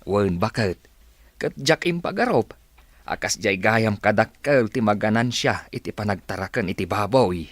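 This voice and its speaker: A man's voice: fairly low pitch, lively intonation, moderate pace, no room sound. A man playing a cheerful, hardworking pig farmer in a radio drama. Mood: pleased, friendly. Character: cheerful, hardworking pig farmer sharing his good fortune.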